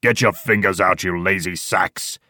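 A man's voice: low voice, brisk, bright, forceful tone, no room sound, studio quality. A man voicing a weary, short-tempered old section leader in a war novel, barking coarse orders. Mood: furious.

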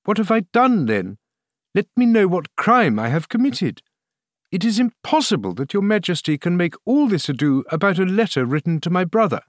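Storybook queen, played by a male reader: high, thin feminine voice, courtly, fearful, pleading, swooping in pitch. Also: very animated, moderate pace, dry recording, studio quality. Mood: indignant, pleading. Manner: formal, courtly, faltering. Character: frightened proud queen, anguished and pleading.

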